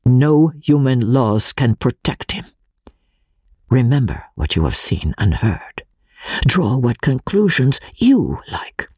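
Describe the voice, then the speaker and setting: A man playing an anguished, honour-bound foreign gentleman who makes a fearful confession in a hushed, pleading voice, in a dramatic audiobook reading. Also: mid-range voice, sing-song, takes it slowly, slightly rough, no room sound, clean studio sound, heavily acted. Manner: hushed, emphatic, solemn pleading.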